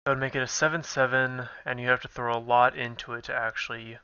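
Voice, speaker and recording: A man's voice: level tone, fast talker, pushed and bright, clear, resonant tone, dry recording. A young man with a stuffy nose, casually thinking aloud while commentating a card game. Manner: thinking aloud, low-key. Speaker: gameplay commentator. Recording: dull lo-fi recording.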